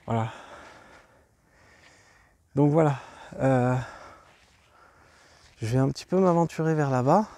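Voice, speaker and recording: A man's voice: mid-range voice, takes it slowly, pauses often, warm tone, no room sound. Congested man rambling through a site tour. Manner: rambling, hesitant, many fillers and restarts. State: congested from a cold. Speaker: travel vlog host. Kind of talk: walking tour commentary. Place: outdoors at an archaeological site.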